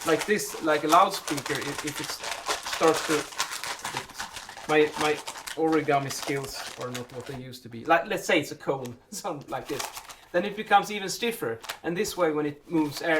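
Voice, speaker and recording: A man's voice: fairly high pitch, noisy background. A man giving an informal explainer to camera, thinking aloud with repeated words and self-corrections. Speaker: vlog host and building acoustics specialist answering a question. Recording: voice distant from the microphone.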